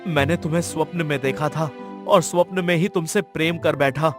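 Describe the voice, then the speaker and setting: Cartoon king, played by a man: earnest, lovestruck, fairly high male voice over music. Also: steady tone, a little fast, natural voice. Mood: resolute. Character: lovestruck, determined king from a fairy tale. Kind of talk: Hindi cartoon story voice acting.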